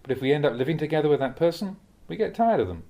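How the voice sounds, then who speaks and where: A man reading a translated Buddhist dharma talk aloud like an audiobook, in a measured, expressive way. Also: a little high, dull audio.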